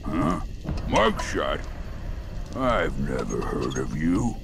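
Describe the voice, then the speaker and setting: A gruff cartoon pig guard, slow-witted and heavy. The voice is gravelly and slow, swinging very widely in pitch, with music behind. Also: male voice, very animated, exaggerated voice. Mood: suspicious. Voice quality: gravelly, creaky. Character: gruff, suspicious, dim pig guard in samurai armor. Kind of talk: animated video game acting. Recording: clear music bed behind.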